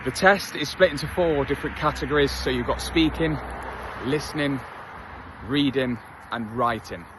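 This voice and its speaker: A man's voice: mid-range voice, moderate pace, noisy background. A man in his thirties from England with an English accent, chatting candidly outdoors while out for a run, self-deprecating and full of fillers. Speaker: vlogger. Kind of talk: casual talk to camera while jogging. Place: outdoors on a street.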